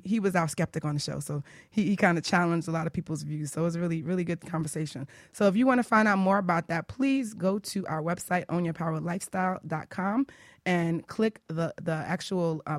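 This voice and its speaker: A woman's voice: lowish voice, no echo, clean studio sound. A woman hosting a radio show in a casual, chatty, conversational way. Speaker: radio show host and life coach. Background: faint music.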